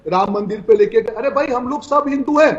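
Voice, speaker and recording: A man's voice: very high-pitched, fairly quick, highs cut off. A man arguing on a TV debate panel, insistently repeating himself to keep the floor. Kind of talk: heated TV debate argument.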